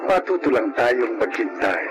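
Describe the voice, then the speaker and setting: A male radio drama announcer reads formally and solemnly. His delivery is measured but rises and falls a lot, at a medium pitch, with music clearly underneath. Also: sing-song, poor audio. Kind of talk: formal scripted narration.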